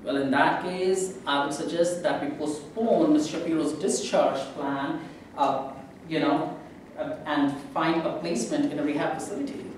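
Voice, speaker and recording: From a distance in a very echoey room, a man plays a cautious, procedural social worker. The delivery is measured and formal, as read in a stage play. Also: a little high, fairly even intonation, lightly acted. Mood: conciliatory, practical. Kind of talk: amateur staged reading of a play. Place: live staged reading in a reverberant room. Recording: very echoey room, distant mic, faint noise.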